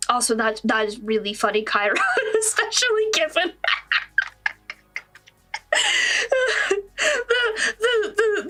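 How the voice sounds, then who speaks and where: A woman's voice: sits high, a bit bright. A young woman streamer from the American Midwest with a mostly neutral American accent and traces of Southern-Midwestern. She chats casually and rambles a bit, teasing herself and laughing often. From Midwestern United States. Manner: rambling, chatty, self-deprecating, quick to laugh. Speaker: streamer and digital artist. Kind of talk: casual livestream chat.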